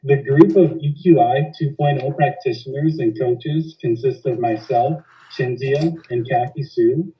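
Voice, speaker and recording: A man opens a podcast as host and coach, reading a formal introduction in a measured way. His tone is very dark and mellow. He sits somewhat back from the microphone. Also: medium pitch, recorded from a distance, poor audio.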